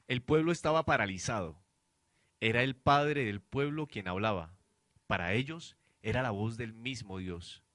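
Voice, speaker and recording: A man's voice: medium pitch, moderate pace, fairly bright tone, dry recording. Dry-sounding male drama narrator.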